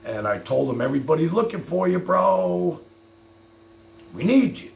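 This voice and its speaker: Male streamer, streetwise and colloquial, lively intonation with a gravelly edge. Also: on the high side, moderate pace. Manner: colloquial, streetwise, direct address. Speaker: live stream show host. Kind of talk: casual live stream chat, taking calls. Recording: roomy, noisy live stream.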